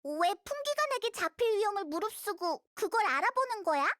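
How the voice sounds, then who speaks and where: Very high, squeaky fairy companion. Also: female voice, fairly quick, no echo, clean studio sound, full character voice. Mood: curious, suspicious. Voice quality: squeaky, childlike. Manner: exclamatory, childlike, expressive. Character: tiny, excitable, cheeky childlike fairy companion. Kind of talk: scripted video game dialogue in Korean.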